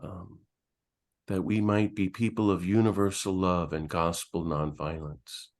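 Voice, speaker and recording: A priest with a deep, low voice leads a solemn prayer. He speaks in a slow, measured cadence. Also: steady tone, a little mellow. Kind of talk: spoken prayer.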